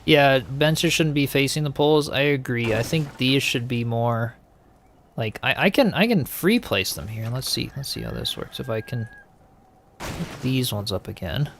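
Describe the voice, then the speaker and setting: A man's voice: medium pitch, animated, moderate pace, gravelly, fairly bright tone, a little hiss or hum. A man casually chatting while playing a game and answering viewers, easygoing and musing, often trailing off. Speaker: game streamer. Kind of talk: casual gameplay chat, reading viewer comments.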